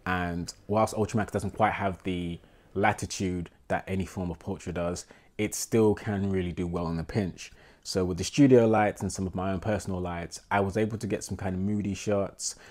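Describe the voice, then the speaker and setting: A man in his thirties with a London accent, a portrait photographer vlogging to camera in a small home room, congested with a cold, talking in a relaxed, rambling, self-deprecating way. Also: sits low. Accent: London English. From England. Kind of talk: casual talking-to-camera vlog.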